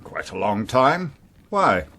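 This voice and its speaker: An actor playing a haughty, guarded titled gentleman, clipped and formal in a radio drama. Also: on the low side, sing-song, slow pace, full character voice. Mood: evasive. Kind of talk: British radio drama acting.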